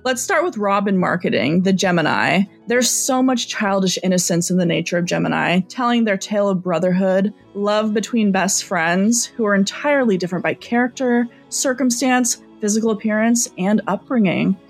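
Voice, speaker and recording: A woman's voice: medium pitch, normal speed, creaky, hardly any pauses. Lady doing a dramatic psychic hotline astrologer, calls you my dear, faint music underneath. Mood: mystical. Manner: flowery, dramatic, pet names. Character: theatrical female astrologer hotline host. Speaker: call-in astrologer. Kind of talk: scripted comedy sketch.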